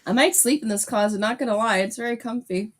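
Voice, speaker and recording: A woman with a high, light voice co-hosts a late-night live stream from inside her closet. She is upbeat and laughs easily, keeping the chat loose and friendly. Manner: giggly, upbeat, quick to laugh.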